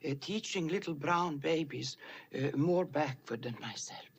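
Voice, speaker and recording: A woman playing a timid, pious missionary who speaks with a Swedish accent and is not a native English speaker, with halting, hesitant speech full of fillers. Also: sits low, husky, full character voice. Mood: nervous, halting. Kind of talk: film dialogue.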